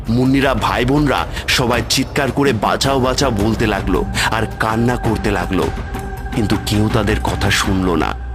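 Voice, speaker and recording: A man narrating a scripted horror story as its storyteller. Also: lowish voice. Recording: music bed under the voice. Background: music.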